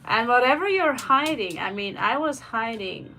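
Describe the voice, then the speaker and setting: A woman's voice: on the high side, animated, normal speed, bright, forceful tone. A woman doing a live tarot reading, chatting in a rambling way with personal asides between the cards. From Norway. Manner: rambling, personal asides, reads out viewer questions. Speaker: tarot reader. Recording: faint music behind the voice.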